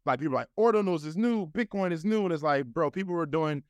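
A man's voice: a little high, animated, fast talker, no echo, studio quality. A man in his thirties, a guest in a casual interview, talking loosely with lots of "you know" and "like" fillers. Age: 30s.

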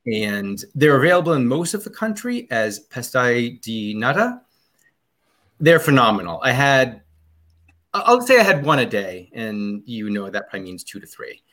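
A man's voice: mid-range voice, sing-song, normal speed, a bit bright. A man as a podcast guest in casual chat, rambling about a trip with many ums and restarts.

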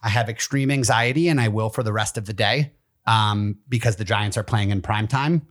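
A man's voice: lowish voice, normal speed. Laid-back male co-host who teases his partner, casual banter. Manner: teasing, laid-back, football fan. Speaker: podcast co-host. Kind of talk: casual chat.

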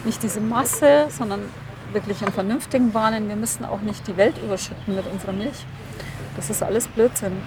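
Emphatic woman interviewee amid traffic noise. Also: mid-range voice. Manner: emphatic, plain-spoken. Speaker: interviewed activist expert. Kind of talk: earnest spontaneous interview.

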